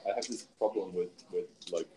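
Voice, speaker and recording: A man with a Dutch accent, a native Dutch speaker, chatting casually and haltingly at a tech camp. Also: low-pitched, crowd noise. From Netherlands. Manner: halting, restarts, self-deprecating. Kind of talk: casual chat. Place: conference room at a tech camp.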